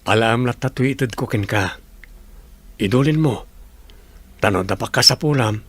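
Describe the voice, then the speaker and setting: A man's voice: medium pitch, measured pace, husky, no echo. A man with an Ilocano accent playing a devoted, generous young village suitor in a radio drama. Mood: tender.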